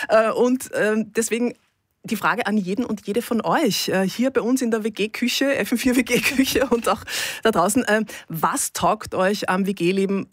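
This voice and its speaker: A woman's voice: medium pitch, slightly rough, dry recording. A woman hosting an informal live radio talk, searching for words as she goes. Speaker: radio host.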